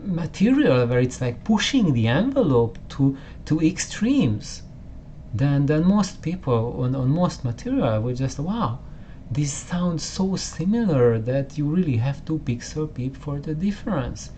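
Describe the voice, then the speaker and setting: A man hosting a home audio channel, explaining casually in a halting way with many restarts, in a room with poor acoustics. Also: on the high side, big pitch swings, warm tone, slight background noise. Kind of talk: casual explanatory talk to camera.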